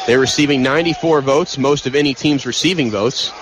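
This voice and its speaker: A man's voice: medium pitch, brisk. A man doing live play-by-play basketball commentary for radio, with a clipped delivery. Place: live from a college basketball arena. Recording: noisy arena radio broadcast.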